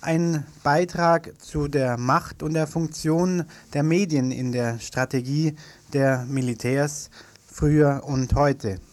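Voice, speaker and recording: A man from reading a community radio news bulletin in a studio, measured with the odd slip and self-correction. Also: on the high side, steady tone, a little mellow. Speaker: news presenter.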